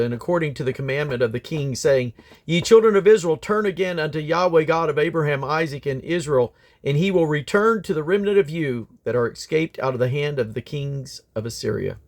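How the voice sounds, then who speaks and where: A man reading scripture aloud as a Bible reader, in a solemn, declamatory manner. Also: mid-range voice.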